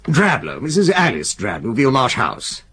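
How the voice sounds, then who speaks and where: A man's voice: mid-range voice, huge pitch swings, moderate pace, a bit bright, breathy edge, exaggerated voice. An actor playing an older, jovial solicitor who chuckles as he tells of a strange client. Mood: storytelling. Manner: hearty, chuckling, theatrical. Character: old jovial solicitor, Mr Bentley. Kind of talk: radio drama acting.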